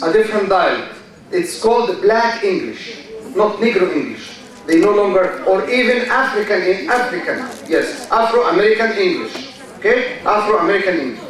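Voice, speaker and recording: A man with an Egyptian accent, a native Arabic speaker, gives a university lecture, speaking slowly, repeating key words and quizzing his students. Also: sits high, a bit bright. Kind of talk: classroom lecture. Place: large lecture hall. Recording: echoey hall, mic far off.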